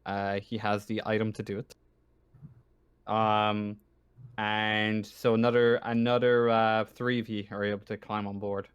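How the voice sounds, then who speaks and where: A man's voice: on the low side, little pitch movement, unhurried, smooth. Guy game mastering a tabletop rpg, chatty, kinda rambly and unsure, apologetic. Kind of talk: casual tabletop game narration.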